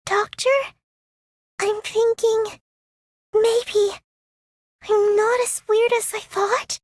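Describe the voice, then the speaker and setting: Game girl fighter: tiny, very high voice, slow, shy and earnest. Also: level tone, a little mellow, slight background noise, full character voice. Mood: quietly happy. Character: shy, earnest young girl fighter, easily amazed by kindness. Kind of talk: scripted game character voice line.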